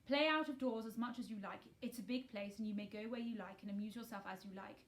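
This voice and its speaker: Woman playing a weary, emphatic gentleman guardian. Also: medium pitch, quick pace, lightly acted. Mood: startled, kindly.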